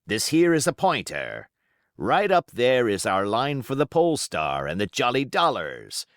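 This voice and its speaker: A man's voice: mid-range voice, wildly swooping pitch, a little slow, no room sound, studio quality, pitched above the performer's own voice. A man voicing a cunning, commanding pirate cook with folksy seafaring dialect and emphatic oaths, in an audiobook. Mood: musing, uneasy. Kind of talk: audiobook character voice.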